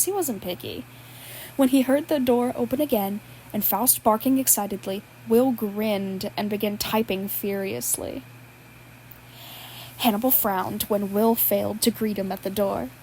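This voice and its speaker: A woman's voice: fairly high pitch, darkish tone, a little hiss or hum, rough recording. A woman reading fan fiction aloud, breaking into laughs as she goes. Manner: laughs at the text while reading. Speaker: podfic reader.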